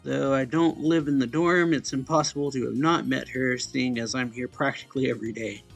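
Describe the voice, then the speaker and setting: A man narrates a visual novel in a casual, informal manner. His voice sits at a middling pitch with a creaky, fry-edged texture, and music plays behind him as he reads. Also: fairly even intonation, fairly quick. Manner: informal, stumbles and corrects misreadings. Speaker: let's play host reading a visual novel aloud.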